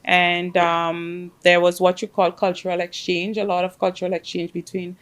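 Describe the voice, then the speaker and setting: A woman from the Caribbean whose first language is Spanish, chatting as an interview guest and telling her story with many "um" pauses. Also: fairly low pitch, completely flat delivery. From Caribbean, grew up in Grenada. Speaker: guest, fintech project manager. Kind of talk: casual interview chat.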